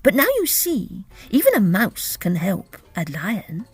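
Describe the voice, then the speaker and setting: A woman voicing a tiny, earnest, plucky mouse in a storybook fable, squeaky and eager. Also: very animated, unhurried, breathy edge, soft background music, full character voice. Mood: proud.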